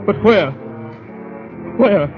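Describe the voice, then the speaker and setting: An actor playing an anxious, shell-shocked Canadian soldier telling his story haltingly in a vintage radio suspense drama, on a dull recording. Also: high voice, lively intonation, heavily acted. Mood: rising panic. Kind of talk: 1940s radio drama acting, first-person suspense narration. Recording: dull vintage radio, faint music behind.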